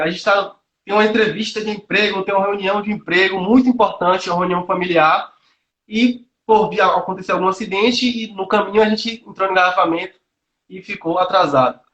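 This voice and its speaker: Young man, high-pitched, earnest and searching, frequent fillers, video call, roomy echo. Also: steady tone, brisk, fairly bright tone. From Salvador, Brazil. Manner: earnest, thinks aloud with many restarts and fillers. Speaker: nutrition student guest. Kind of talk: earnest explanatory chat. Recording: roomy echoey call audio.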